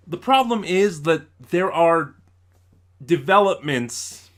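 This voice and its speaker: A man's voice: medium pitch, a bit bright. A man giving a casual spoken review as an online host, thinking aloud and often correcting himself. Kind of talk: casual spoiler review of an audio drama.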